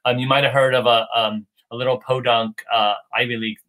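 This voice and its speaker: Californian man on a video-call livestream, bright and forward tone, eager and rambling. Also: mid-range voice, little pitch movement, fairly quick. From United States, California. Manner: rambling, enthusiastic, many restarts. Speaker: guest. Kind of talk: casual livestream chat.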